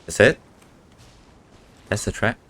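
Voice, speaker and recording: A man's voice: slow, a bit bright. A man casually commenting on a game as he streams it, with skeptical, offhand remarks. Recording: game music behind the voice. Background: video game music.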